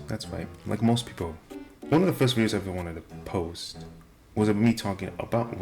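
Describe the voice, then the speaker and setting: A young man with a deep voice and nonstandard R sounds, talking casually and self-deprecatingly to the camera. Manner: rambling, self-deprecating, many restarts. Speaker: vlogger. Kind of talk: casual rambling monologue. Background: video game music playing.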